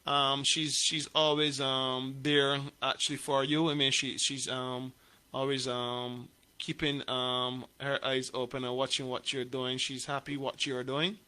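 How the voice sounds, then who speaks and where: Radio host from St Kitts and Nevis, bright forceful tone, halting and chatty. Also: male voice, medium pitch, little pitch movement, smooth. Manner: halting questions full of "um", frequent "yeah, man". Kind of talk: radio interview, casual.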